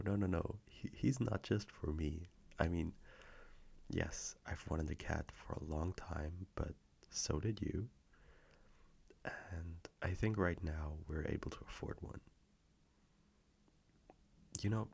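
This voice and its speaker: A man playing a gentle, affectionate boyfriend in an intimate audio roleplay, speaking softly and reassuringly with a low, gravelly, sometimes breathy voice. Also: full character voice. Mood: affectionate, playful. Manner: soft, slow, reassuring, intimate.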